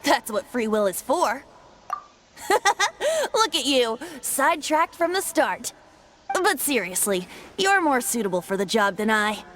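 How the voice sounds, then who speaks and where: A woman's voice: on the high side, big pitch swings, measured pace, fairly bright tone, slight background noise. A woman playing a playful, theatrical young male bard who is a god in disguise, in a fantasy video game. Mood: sly, persuasive. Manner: playful, grandiose, cajoling. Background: background music.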